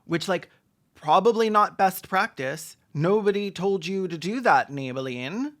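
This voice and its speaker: Commentator for a film takedown video: mid-pitched voice, sarcastic, campy, quick with joking asides. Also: animated, fairly bright tone.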